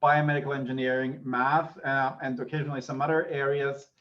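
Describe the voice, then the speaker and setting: A middle-aged Austrian man with a German-speaking background gives an informal university lecture. His voice sits at an ordinary male pitch, and the online audio is somewhat muffled. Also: little pitch movement, a little fast. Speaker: university lecturer, associate professor.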